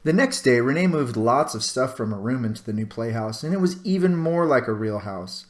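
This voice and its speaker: A man narrating a children's storybook aloud with dramatic pauses and stretched-out phrases. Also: mid-range voice, expressive. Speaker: storybook reader.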